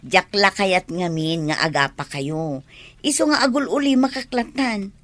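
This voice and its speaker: A woman's voice: medium pitch, a little slow, a bit bright, close to an everyday voice. A woman with an Ilocano accent playing a gossipy, teasing village friend in a comedy radio drama.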